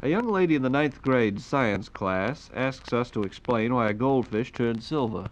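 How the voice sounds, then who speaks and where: A man's voice: medium pitch, expressive, normal speed. A man hosting a 1940s radio program, speaking formally and courteously as an announcer. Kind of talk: 1940s radio announcing, reading listener questions. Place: radio studio. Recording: noisy 1940s radio recording.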